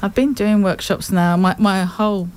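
A woman's voice: mid-range voice, moderate pace, clear, resonant tone. A woman in her fifties with an English accent, a guest artist chatting in a radio interview and laughing often. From England. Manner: chatty, laughs often, warm self-deprecating.

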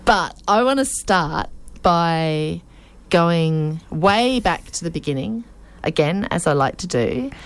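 A woman hosting a casual interview, chatty and quick to laugh. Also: fairly low pitch, lively intonation, clean tone. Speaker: podcast host.

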